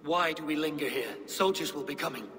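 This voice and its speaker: An actor playing a sceptical, impatient Viking warrior in a video game, speaking in a blunt, challenging way. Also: male voice, a little high, fairly quick, lightly acted. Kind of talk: dramatic video game acting.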